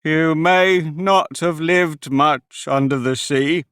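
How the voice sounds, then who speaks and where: A man's voice: fairly high pitch, expressive, fairly bright tone, dry recording, voice pushed up. A sorrowful, tearful storybook sea creature, voiced by a man drags out each word in a raised, quavering voice. His tone rises and falls widely. The sound is dry and close, with no room around it. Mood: mournful. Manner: slow, drawn-out and mournful. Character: weepy melancholy sea creature, male, slow and mournful.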